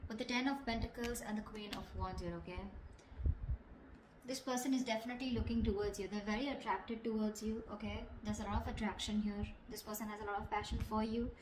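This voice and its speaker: A woman's voice: mid-range voice, steady tone, fairly quick. A woman giving an intuitive tarot reading straight to the viewer, conversational, often checking in with little tag questions. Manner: conversational, repeats tag questions like okay and you know. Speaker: tarot reader. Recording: echoey room, clear background noise, clicks.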